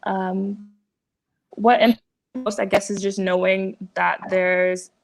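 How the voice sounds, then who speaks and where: A woman answering a panel question in a flat, even voice that barely rises or falls. She talks casually and hesitantly, leaning on "you know" and "like" between thoughts. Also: medium pitch, slow pace, rough recording. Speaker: panel guest. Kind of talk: panel discussion.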